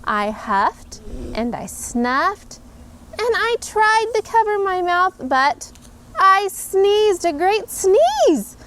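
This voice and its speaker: Mom reading a picture book to her kids, high voice, really bouncy and expressive. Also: drawn-out words, slight background noise. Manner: animated, expressive reading with playful emphasis. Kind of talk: storybook read-aloud.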